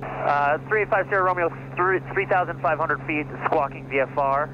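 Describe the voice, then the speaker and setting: A man's voice: on the high side, moderate pace. An American man talking as a private pilot over an aircraft headset in a small plane, in casual chat and radio calls. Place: small plane cockpit in flight. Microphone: aviation headset. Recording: dull noisy aircraft intercom, engine hum.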